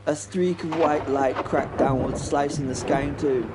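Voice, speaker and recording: An actor playing a haunted but plucky biplane pilot, telling his story in a halting, wryly understated horror audio drama monologue. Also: on the high side, steady tone, heavy background noise, natural voice. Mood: anguished. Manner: halting, repeating words, wry understatement. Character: British First World War biplane pilot, haunted, plucky, recounting battle.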